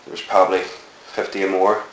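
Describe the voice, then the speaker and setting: A middle-aged Belfast man with a very low voice tells of his childhood in a slow, reflective, rambling way, full of ums and restarts. He is recorded in an echoey room with a faint hiss behind him. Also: level tone, a bit bright. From Northern Ireland. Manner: rambling, reflective storytelling with many fillers. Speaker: interviewee. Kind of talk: oral history interview.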